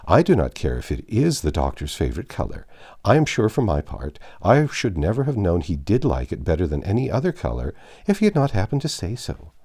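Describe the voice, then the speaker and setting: A man voicing a gossipy, excitable, vulgar young Regency woman in a storybook reading, chattering on in run-on sentences. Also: low-pitched, brisk, husky, exaggerated voice. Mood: pleased chattering.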